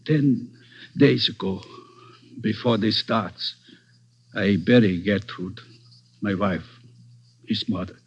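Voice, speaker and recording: An actor playing a grieving, bitter older father who speaks in halting broken English, on an old radio drama recording. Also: fairly low pitch, breathy edge, full character voice. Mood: grim. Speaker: witness. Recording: dull old radio broadcast.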